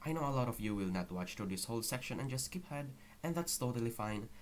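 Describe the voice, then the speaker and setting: A man's voice: medium pitch, lively intonation, fast talker, a little hiss or hum. A young man with a Filipino accent giving a candid, apologetic personal update to viewers, recorded straight on an unprocessed microphone. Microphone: raw microphone with no processing.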